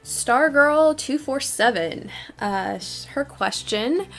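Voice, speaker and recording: A woman's voice: high voice, expressive, slow pace, a bit bright, faint music behind. A young adult woman hosting a casual question-and-answer video, talking in a thoughtful, hesitant, rambling way. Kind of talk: casual fan Q&A talk.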